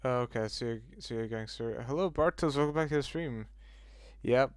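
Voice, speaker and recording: A man hosting a livestream, chatting loosely with his viewers and reacting out loud to their messages. His voice sits at a middle pitch. The sound is close and dry, with no room around it. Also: brisk, clear, resonant tone, no echo. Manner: chatty, reacts aloud to chat, swears casually. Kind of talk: casual livestream chat with viewers.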